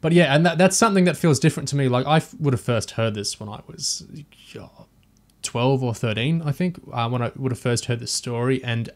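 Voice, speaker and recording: A man with a medium-pitched voice talks as a podcast panelist in a casual review discussion. He is thoughtful and a little hesitant, building his points loosely as he goes. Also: expressive, a little fast.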